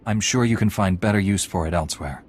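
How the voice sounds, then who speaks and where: An actor playing a calm, confident young knight hero in a video game, reassuring and matter-of-fact. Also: male voice, sits low, fast, slight background noise, natural voice. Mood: calm, resolute. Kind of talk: video game drama acting.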